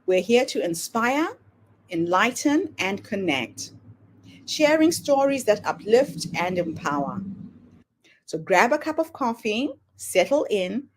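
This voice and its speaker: A woman hosting a podcast, reading a scripted welcome and then greeting her guest in a measured, welcoming way. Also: a little high, sing-song, slight background noise, poor audio. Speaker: podcast host. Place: Melbourne, Australia.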